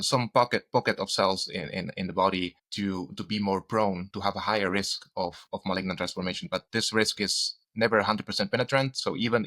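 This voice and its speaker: Bright-voiced man explaining in halting phrases. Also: low voice. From Czech Republic, grew up in Spain. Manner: hesitant, halting technical explanations. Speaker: guest, doctor and cancer researcher. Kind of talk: explanatory interview conversation.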